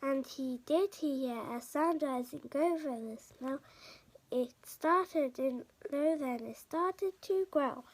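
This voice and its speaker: High-voiced woman reading haltingly, slowly. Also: low-quality recording. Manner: halting, stumbling reader who repeats words. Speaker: podcast host reading a storybook. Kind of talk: read-aloud storybook narration.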